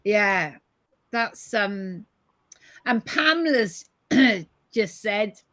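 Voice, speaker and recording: A woman hosting a live-stream conversation over a video call, hoarse today, thoughtful as she reads out viewer comments. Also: mid-range voice, animated, drawn-out words, bright, forceful tone, dry recording. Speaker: host.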